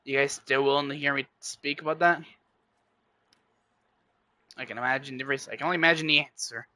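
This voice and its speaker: A man's voice: mid-range voice, fairly even intonation, fairly quick, sharp tone, no echo. A man streaming and chatting casually with his viewers, rambling and often correcting himself mid-sentence. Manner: rambling, self-correcting, talks straight to chat. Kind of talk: casual livestream chat.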